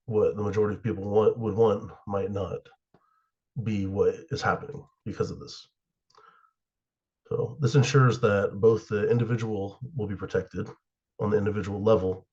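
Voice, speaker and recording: A sick young man from the USA, slightly hoarse and raspy, giving a class presentation with many fillers and pauses. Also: on the low side, darkish tone, lo-fi sound. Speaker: student presenter.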